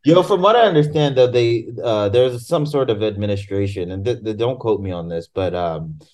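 A man from Tanzania, his voice sitting a little low, talks in a loose, animated way, laughing and cursing easily. He is heard over a video call. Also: normal speed. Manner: animated, laughing, swearing casually. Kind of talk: casual chat.

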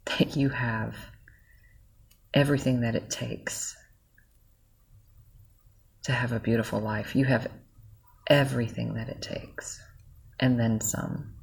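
A woman leading a guided gratitude meditation, speaking softly and soothingly straight to the listener. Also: a bass voice, steady tone, gravelly, husky. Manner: soft, slow and soothing, speaking directly to the listener.

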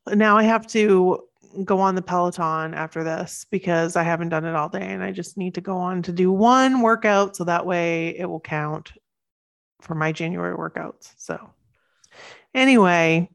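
A woman's voice: fairly low pitch, gravelly. Chatty sniffly woman co-host. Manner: chatty, enthusiastic, quick asides. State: sniffly from cold. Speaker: podcast co-host. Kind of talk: casual chat.

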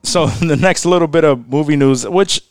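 Brief: man, podcast co-host, profane and rambling, slightly high, smooth and crisp, close and dry. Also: moderate pace, no room sound. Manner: profane, rambling, laughs often. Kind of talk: casual chat.

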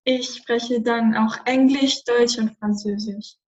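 A woman's voice: on the high side, fairly even intonation, slow, a little mellow, far from the mic, dull audio. Hesitant teen girl with Spanish accent. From Spain. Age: teens. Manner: hesitant, many fillers. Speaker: student podcaster. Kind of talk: casual chat.

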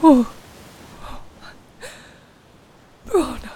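A woman's voice: high voice, lively intonation, a little slow, warm tone, slight background noise, voice pushed up. A woman voicing a desperate old grandmother in a fantasy story, pleading with emotion. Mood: grieving.

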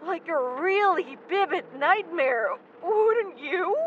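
An actor as a guilt-ridden young man in an audio drama, confessing something traumatic. The voice is high for a man and halting, with stammered starts. The sound is dull, with clear vehicle noise behind it. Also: expressive, fairly bright tone, heavily acted. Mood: agitated, defensive. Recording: dull, noisy lo-fi recording.

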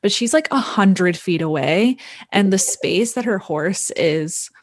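A woman's voice: medium pitch, expressive, a little slow, dry recording, studio quality. A woman in casual conversation about movies, hedging with fillers like "like" and "you know" and giggling often. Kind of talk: casual film discussion.

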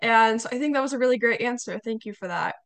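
A young woman hosting a podcast interview, her voice slightly hoarse, chatting casually with many fillers. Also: fairly high pitch, fast talker. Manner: chatty, warm hesitations with fillers. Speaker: podcast host.

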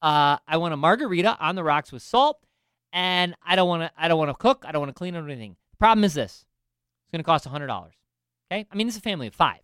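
A man's voice: fairly high pitch, animated, fast talker, fairly bright tone, clean tone, no echo, clean studio sound. A man in finance telling a self-deprecating story in casual chat, with lots of restarts and asides. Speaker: finance professional.

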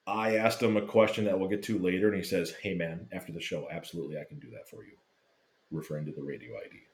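A man casually telling a lively fan story, swearing freely and acting out what people said. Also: sits low, fast. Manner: animated, swearing, acts out quotes. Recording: roomy echo.

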